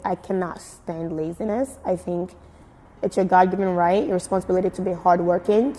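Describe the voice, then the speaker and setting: A woman from Nigeria with a Nigerian accent mixed with British and American, giving expressive, emphatic answers in a casual interview. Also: mid-range voice. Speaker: fashion blogger being interviewed. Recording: very echoey room with music. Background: music.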